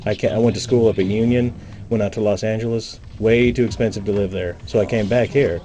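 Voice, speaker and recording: A man's voice: fairly low pitch, fairly even intonation, brisk, mellow, faint music behind. A man talking casually as a playwright and guest promoting a show. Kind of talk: casual promotion and interview talk.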